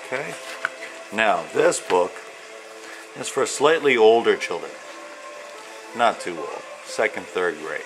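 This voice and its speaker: Low-voiced man reading slowly. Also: lively intonation, music in the background, poor audio. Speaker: author and illustrator reading own children's book. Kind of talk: read-aloud storytelling of rhyming children's book.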